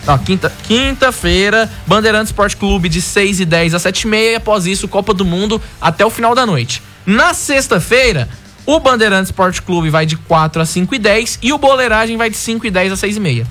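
A Brazilian man hosting a casual sports chat show, chatty and quick to announce the schedule. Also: high-pitched, expressive, bright, forceful tone, soft background music. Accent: Brazilian Portuguese.

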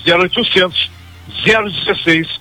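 A man in his sixties pitching a product on live radio, pushing hard and repeating a phone number. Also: steady tone, normal speed, sharp tone, rough recording. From Goiânia, Brazil. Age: 60s. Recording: radio broadcast with music behind.

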